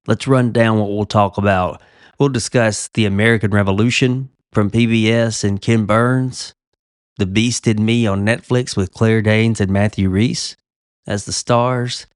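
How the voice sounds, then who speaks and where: Man, podcast host, low voice, stuffy nose, casual chatty delivery, dry close sound. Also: steady tone, unhurried, smooth, no room sound. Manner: conversational, slightly self-interrupting. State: stuffy from a cold. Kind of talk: casual chat.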